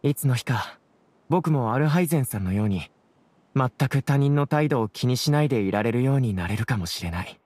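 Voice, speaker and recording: An actor playing a gentle, self-doubting young man in an anime game, earnest, soft-spoken and reflective. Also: medium pitch, lively intonation, fairly quick, natural voice. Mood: quietly hopeful. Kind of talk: anime video game voice acting.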